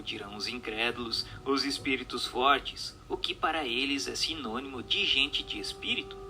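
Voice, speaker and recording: A man with a Brazilian Portuguese accent reads a book aloud as a narrator, in formal, measured, dramatic storytelling. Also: medium pitch, bright, forceful tone. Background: music.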